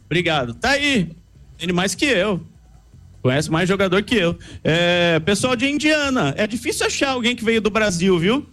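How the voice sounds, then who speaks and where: A man with a high voice works the crowd outside a stadium as a live radio reporter. He talks in an easy, chatty way. His voice is recorded outdoors on a hand mic. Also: very animated, a bit bright. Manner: chatty, switches to slow careful English. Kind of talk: live street interviews.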